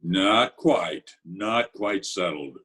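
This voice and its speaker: A man's voice: low-pitched, animated, extremely slow, fairly bright tone, heavily acted. A man playing a blunt, commanding New York tough guy in an old-time radio play, speaking in formal gangster slang. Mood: matter-of-fact.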